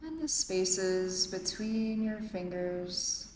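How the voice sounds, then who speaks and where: A woman leading a guided yoga nidra meditation as an instructor, speaking calmly and in measured phrases with long pauses. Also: mid-range voice. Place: indoor urban yoga studio, recorded live. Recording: roomy echo, faint background noise, live studio. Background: faint ambient room and city noise.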